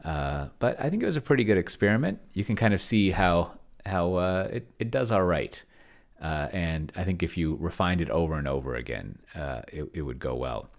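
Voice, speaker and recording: A man's voice: sits low, lively intonation, normal speed, gravelly. A middle-aged man giving a casual, off-the-cuff review, rambling and hesitant as he thinks aloud into a close desk microphone. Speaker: solo role-playing video host. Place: small home office, indoors. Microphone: large desk microphone close to the mouth.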